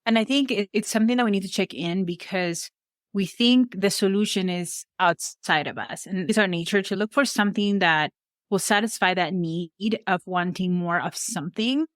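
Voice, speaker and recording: A woman's voice: medium pitch, moderate pace, no room sound, clean studio sound. A young adult woman with a Venezuelan accent, a life coach speaking earnestly as a podcast guest. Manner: earnest, reflective, speaks of faith warmly. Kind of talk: conversational interview.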